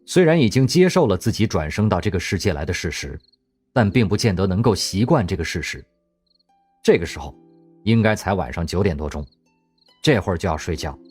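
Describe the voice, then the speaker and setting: A man with a low, husky voice narrates an audio drama, his pitch rising and falling expressively as he tells the story. Soft music plays underneath.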